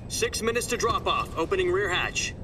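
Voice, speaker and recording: A male actor playing a brisk, strict aircrew jumpmaster calling out orders in a video game drama. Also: high voice, sing-song, background noise. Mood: brisk, urgent. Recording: distant, loud music and aircraft behind. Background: music, aircraft engine.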